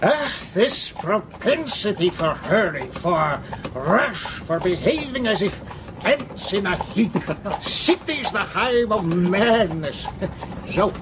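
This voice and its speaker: An actor playing an eccentric, blunt older foreign professor in an audio drama, with brusque, exclamatory phrasing. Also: male voice, sits high, very animated, slow, exaggerated voice. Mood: grumbling, amused. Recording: noisy background ambience.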